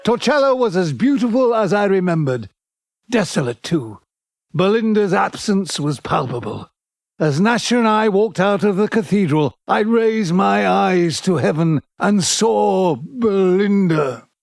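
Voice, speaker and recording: A man playing an amorous, poetry-loving British lover in an audio drama, measured, romantic and wry. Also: sits high, animated, vocal fry, full character voice. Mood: wistful, impassioned.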